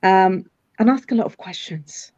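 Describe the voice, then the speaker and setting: A woman's voice: mid-range voice, normal speed, a little mellow, dry recording. Middle-aged woman guest, lots of ums and restarts, muffled dry call sound. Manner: thoughtful, many fillers and restarts. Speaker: CEO guest. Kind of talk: live online interview. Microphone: video call.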